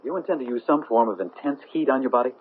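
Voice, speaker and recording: A man's voice: medium pitch, fast talker, close to an everyday voice. An actor playing a worried, protective man in a 1970s radio drama, speaking earnestly. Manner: earnest, concerned. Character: worried, protective man pleading with his beloved. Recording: dull, noisy vintage radio recording.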